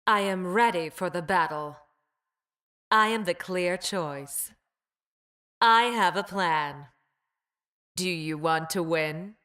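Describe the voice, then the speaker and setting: A woman playing a cold, logical former combat medic in a video game, with clipped, detached, deliberate delivery. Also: lowish voice, bright, forceful tone. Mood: calm, resolute. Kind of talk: short scripted game voice lines.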